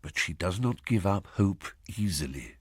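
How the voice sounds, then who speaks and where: An actor playing a wry, knowing narrator of a radio period drama, husky, deliberate and suspenseful in delivery. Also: male voice, low-pitched, lively intonation, no echo, studio quality.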